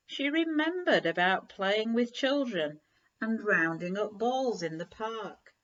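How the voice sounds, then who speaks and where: A woman reading a children's story aloud in an animated, measured storytelling manner. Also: mid-range voice. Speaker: story reader. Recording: slightly dull, lo-fi recording.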